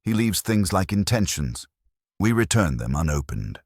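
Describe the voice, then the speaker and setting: A man's voice: low voice, sing-song, normal speed, no room sound, studio quality, pitched above the performer's own voice, full character voice. A man voicing a wry, unflappable detective's assistant with a cool, wisecracking manner in a mystery story.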